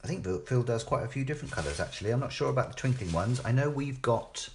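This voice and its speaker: A man's voice: medium pitch, lively intonation, fast, darkish tone, slight background noise. A British man chatting casually and thinking aloud as he hosts a live craft demonstration, often tagging questions onto his remarks. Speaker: craft demo host.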